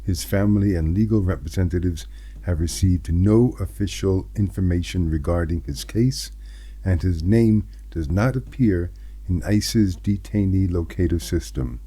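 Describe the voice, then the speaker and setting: A middle-aged American man hosting scripted political commentary, speaking in an earnest, emphatic way with deliberately set-apart phrases. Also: low-pitched, mellow, breathy edge. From United States. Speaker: host of a commentary channel.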